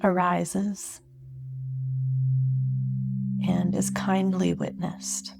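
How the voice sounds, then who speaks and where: A woman guiding a meditation, speaking gently and unhurriedly with long pauses between phrases. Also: fairly low pitch, flat delivery, gravelly. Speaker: meditation guide. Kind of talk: guided meditation. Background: meditative singing bowl and sine-tone music.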